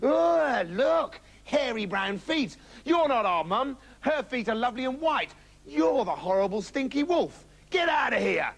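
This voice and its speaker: A man's voice: extremely high pitch, big pitch swings, moderate pace, breathy edge, heavily acted. A man voicing a young, clever and wary goat kid in a storybook, cheeky and defiant. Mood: alarmed, defiant. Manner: plain-spoken, cheeky, defiant.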